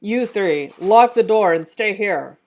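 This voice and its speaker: A woman's voice: medium pitch, expressive, slow, a little mellow. Tough female captain, terse and commanding, woman acting it out, informal screenplay read. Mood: urgent, commanding.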